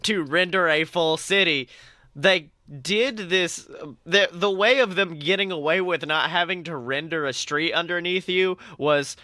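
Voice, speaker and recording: An excitable man co-hosting a podcast, his voice sitting high and ringing bright. He swears freely and laughs a lot as he chats, and the sound is close and dry with no room echo. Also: unhurried. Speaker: podcast co-host. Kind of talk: casual pop-culture chat.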